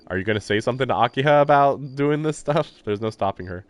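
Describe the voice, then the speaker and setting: A man's voice: mid-range voice, fairly quick, no room sound. A man live-streaming a visual novel, reading the text aloud and adding casual, wry, offhand comments. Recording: faint game music behind the voice. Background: game soundtrack.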